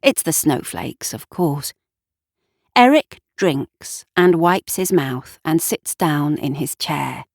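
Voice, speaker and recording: A woman narrating a dramatic story in a hushed, lyrical, lingering way. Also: low-pitched, animated, slow, vocal fry, no room sound, clean studio sound.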